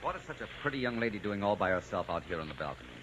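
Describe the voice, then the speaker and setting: Suave low-voiced romancer, old radio. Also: male voice, sing-song, racing, background noise. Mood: suave, flirtatious. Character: bold, flirtatious gentleman romancer, suave and teasing. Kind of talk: old-time radio drama acting. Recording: dull old radio recording, music behind.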